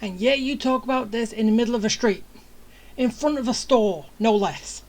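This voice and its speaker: A woman's voice: on the high side, moderate pace, darkish tone. A woman streamer giving casual gameplay commentary with dry, sarcastic asides.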